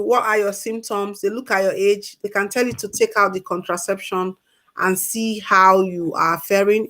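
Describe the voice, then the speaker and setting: A woman's voice: mid-range voice, a bit bright. A woman on a call-in talk show giving health advice in an emphatic way, repeating her key points. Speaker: health expert guest.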